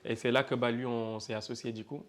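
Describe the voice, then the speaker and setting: A man's voice: medium pitch, little pitch movement, fairly quick, background noise. A man in his twenties from Senegal talks casually about starting a food business. He has a mid-range voice, and his speech is loose and conversational, full of little fillers. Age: 20s. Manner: casual, chatty, lots of fillers. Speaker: interviewee, cook and business owner. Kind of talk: documentary interview.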